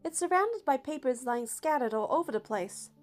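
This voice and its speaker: High-voiced woman reading a game. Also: animated, moderate pace, faint music behind. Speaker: narrator of a game playthrough. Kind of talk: reading game text aloud.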